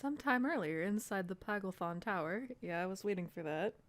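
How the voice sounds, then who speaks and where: Woman streaming a game, medium pitch. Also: expressive, fairly quick. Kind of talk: live playthrough commentary, reading game text aloud.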